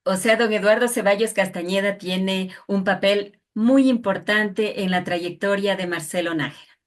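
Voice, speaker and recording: A woman's voice: mid-range voice, steady tone, normal speed. A woman conducting an interview, asking long, respectful questions in a formal but conversational way.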